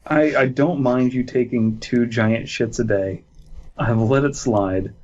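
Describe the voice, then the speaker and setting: A man's voice: medium pitch, slow pace, darkish tone, highs cut off. A man co-hosting a comedy podcast who reads a story aloud and keeps breaking into giggles during casual banter. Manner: giggly, breaks into laughter while reading. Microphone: online call mic.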